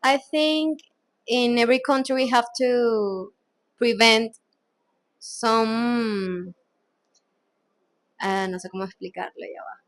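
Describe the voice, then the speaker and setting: Venezuelan woman, higher voice, slow halting english, looking for words. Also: rough recording. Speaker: interviewee.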